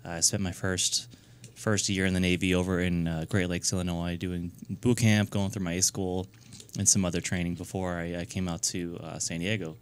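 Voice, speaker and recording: A man's voice: low voice, level tone, normal speed, few pauses, clear, resonant tone. A man in his thirties from New Hampshire, a guest in a casual radio interview, talking earnestly with many fillers and restarts. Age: 30s. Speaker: guest, nonprofit founder.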